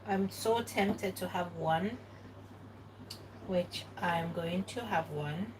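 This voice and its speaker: A woman's voice: lowish voice, takes it slowly. A woman from Ghana who thinks aloud in casual chat while she cooks in a home kitchen, recorded by a camera some way off. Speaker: cooking vlogger. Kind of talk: casual cooking commentary. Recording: distant mic with kitchen noise.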